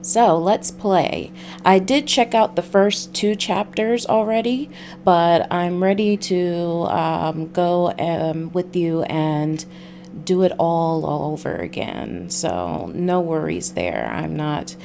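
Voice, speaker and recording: A woman with a low voice hosts a casual game stream. She talks at an unhurried pace and hesitates between phrases, leaving long gaps. Faint music plays behind her. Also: gravelly, a little mellow. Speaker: livestream host. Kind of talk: casual game stream, reading a visual novel aloud.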